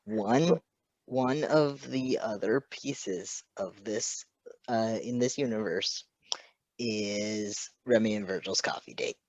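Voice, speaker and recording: A woman's voice: very deep voice, slow, darkish tone, dry recording, low-quality recording. A woman chatting casually and enthusiastically about a story as co-host.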